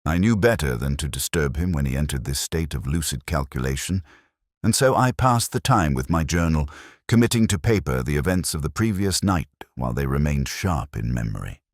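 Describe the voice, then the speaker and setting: A man's voice: very deep voice, lively intonation, brisk, no room sound, studio quality. A man narrating a Victorian detective story in a scripted, literary audiobook style.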